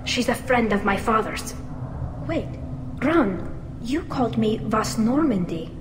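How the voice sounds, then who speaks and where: A woman's voice: fairly high pitch, animated, slow, background noise. An actress playing an earnest, loyal young alien crew member in a video game, polite in her speech. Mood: troubled. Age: young adult. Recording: echoey hall with music behind.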